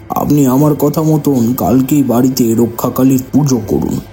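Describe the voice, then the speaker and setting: A man's voice: mid-range voice, dark tone, lightly acted. An actor playing a wise elderly grandfather, composed and authoritative, in a horror audio drama. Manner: composed, commanding.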